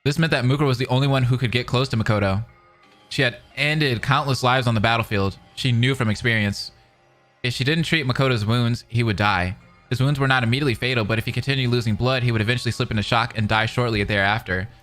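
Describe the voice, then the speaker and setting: A man reading story narration aloud and breaking into casual, excitable reactions as a commentator. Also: medium pitch, fast, faint music behind. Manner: excitable, expressive.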